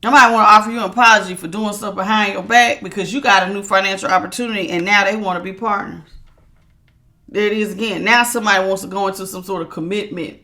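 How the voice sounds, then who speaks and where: A woman with a bright, forceful, projecting voice talks straight to her viewers as she reads tarot cards. Her delivery is emphatic and authoritative, and she doubles down on her points by repeating phrases. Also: mid-range voice, fairly even intonation, fast. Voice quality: strong, projecting voice. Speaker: tarot reader. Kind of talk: casual tarot reading to viewers.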